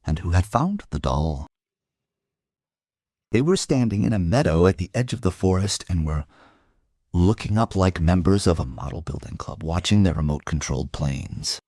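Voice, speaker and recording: A man's voice: low-pitched, sing-song, normal speed, darkish tone, husky, dry recording, clean studio sound, heavily acted. A man playing a middle-aged homicide inspector who narrates a crime audio drama in a wry, musing, literary manner.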